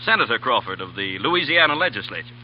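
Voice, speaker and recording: A man's voice: mid-range voice, big pitch swings, fast talker, natural voice. Man acting a formal, businesslike senator. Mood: smooth, discreet. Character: smooth, businesslike state senator, adult man. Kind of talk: 1930s radio acting. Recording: dull 1938 radio broadcast, music behind.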